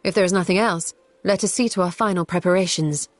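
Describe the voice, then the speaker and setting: A woman's voice: fairly low pitch, steady tone, fast, no echo, faint music behind, natural voice. A woman acting a poised, formal and courteous leader in a video game cutscene. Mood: courteous, resolute.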